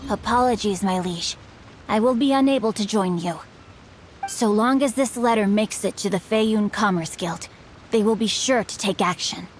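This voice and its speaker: A woman's voice: mid-range voice, moderate pace, slight background noise, natural voice, rough recording. A woman as a bookish, chivalrous young swordsman. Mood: politely evasive. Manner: flowery, formal, courteous, evasive. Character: bookish, chivalrous young gentleman swordsman, male, youthful, composed. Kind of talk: video game voice acting.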